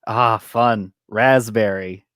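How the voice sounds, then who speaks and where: A young man co-hosting a theatre podcast, chatting in an expressive, playful way on a video call. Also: fairly low pitch, slow, no echo, studio quality. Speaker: co-host of a musical theatre podcast. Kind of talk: casual, joking chat on a livestreamed quiz show. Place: indoor room, video call.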